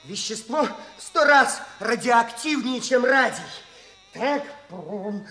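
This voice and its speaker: An actor playing an eccentric, excitable inventor in a theatrical, muttering manner in a 1960s Soviet radio play. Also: male voice, extremely high pitch, takes it slowly, exaggerated voice. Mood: gleeful, self-absorbed. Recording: old 1960s radio recording, faint music.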